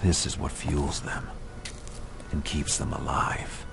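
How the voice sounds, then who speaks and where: A man voicing a brooding amnesiac narrator in a noir horror game, speaking in a hushed, half-whispered, slow way. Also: rumbling low, level tone, gravelly, background noise, exaggerated voice. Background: music.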